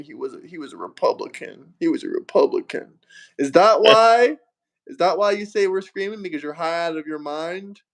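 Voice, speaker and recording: A man hosting a livestream, arguing heatedly and mockingly with a caller and asking viewers for super chats. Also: a little high. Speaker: livestream host. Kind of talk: heated political livestream debate.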